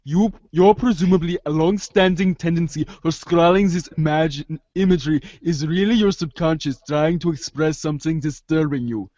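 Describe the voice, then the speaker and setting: A man acts out an unflappable amateur psychoanalyst in an audio play, formal and wordy, stumbling through long analytical phrases. The voice sits fairly high, and the recording is dry and slightly dull. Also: darkish tone, dry recording, lightly acted. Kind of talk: amateur reader's theater acting.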